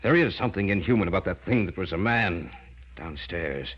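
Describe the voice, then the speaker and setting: A man's voice: low voice, lively intonation, brisk, breathy edge. An actor playing an obsessed, stubborn scientist father in an old-time radio horror drama, with a theatrical, emphatic delivery. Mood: grave, remorseful. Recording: dull, hissy vintage radio recording.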